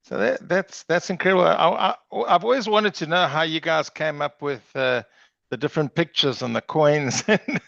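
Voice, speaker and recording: A man's voice: a little high, moderate pace, creaky, fairly bright tone, no room sound. A man hosting a casual interview podcast, effusive and often restarting his questions. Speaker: podcast host. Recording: dull lo-fi recording.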